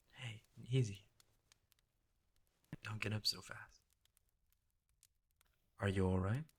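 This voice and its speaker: A man playing a devoted, guilt-ridden knight in a fantasy ASMR roleplay, speaking formally and earnestly with a gravelly voice. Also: on the low side. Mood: worried, agitated. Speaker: roleplay audio performer. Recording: roomy echo, faint noise, clicks.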